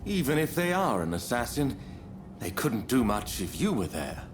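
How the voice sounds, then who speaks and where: An actor playing a courteous, composed diplomat in a video game, speaking in a polite, formal way. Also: male voice, mid-range voice, very animated, moderate pace, natural voice. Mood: persuasive. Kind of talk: video game cutscene acting. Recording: clear background ambience noise.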